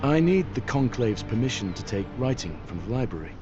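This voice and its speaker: Game casting: male actor as terse determined adventurer, average pitch, slightly dull sound. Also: big pitch swings, fairly quick, music in the background, lightly acted. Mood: businesslike. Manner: direct, wry, businesslike. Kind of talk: video game cutscene acting. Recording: slightly muffled audio.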